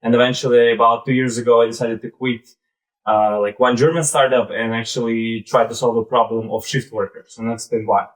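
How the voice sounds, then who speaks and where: A man in his thirties with a Belarusian accent gives an informal live presentation in a halting, conversational way, often saying "like" and "basically". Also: mid-range voice, little pitch movement, low-quality recording. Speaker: presenter, startup founder.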